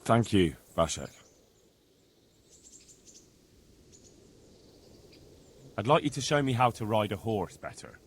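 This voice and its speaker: A man's voice: on the low side, very animated, a little fast, slightly rough. A man playing an earnest, polite young medieval horseman in a video game cutscene.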